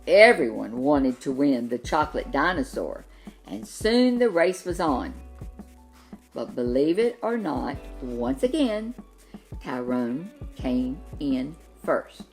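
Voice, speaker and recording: A woman storyteller giving an expressive reading of a children's story. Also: extremely low pitch, slow pace, faint music behind.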